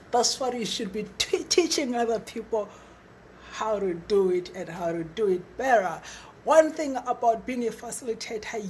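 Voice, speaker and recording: A middle-aged woman with a husky voice giving an eager, encouraging webinar talk as a trainer, stumbling and repeating words now and then. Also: a little high, a little mellow, slight background noise. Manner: stutters at times, repeats words; enthusiastic, encouraging. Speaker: facilitator and trainer.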